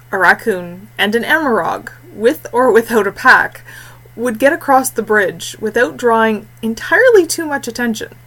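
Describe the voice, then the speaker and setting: Woman reading out a fantasy story, careful phrasing, kinda expressive, audiobook style. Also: medium pitch, rough recording. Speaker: audiobook narrator. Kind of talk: scripted fantasy story narration.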